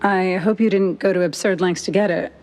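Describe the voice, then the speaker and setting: A woman's voice: fairly low pitch, fairly even intonation, brisk, darkish tone, close to an everyday voice. A woman voicing a cool, guarded mouse lady in a noir video game, restrained and dry in her delivery. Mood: curt, guarded. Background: music.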